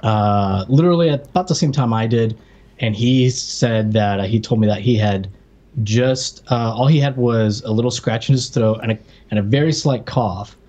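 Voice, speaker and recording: A man's voice: medium pitch, normal speed, warm tone, dull audio. Casual American man telling stories. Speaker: podcast co-host. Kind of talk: casual chat, personal anecdote.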